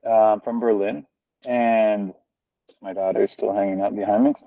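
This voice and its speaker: A low-voiced American man talks on a video call, earnest and rambling with plenty of ums. The audio is dull and lo-fi, with a dry, close sound and no room echo. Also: fairly even intonation, a little slow. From United States. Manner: rambling, filler-heavy, earnest. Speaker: guest, founder of a social organization. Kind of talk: casual conversational interview. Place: home, family moving about behind him.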